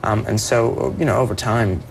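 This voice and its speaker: A young man speaking as a performer guest on a TV interview, soft-voiced and earnest, often restarting his sentences. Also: mid-range voice, steady tone, moderate pace, gravelly, noisy background. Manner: earnest, with many restarts and repeated words. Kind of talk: TV morning show interview.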